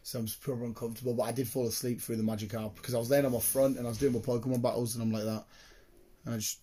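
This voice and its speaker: A British man chatting casually in a rambling, excitable, sweary way, with a slightly croaky voice. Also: medium pitch, rapid-fire. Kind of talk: casual chat, rambling anecdotes.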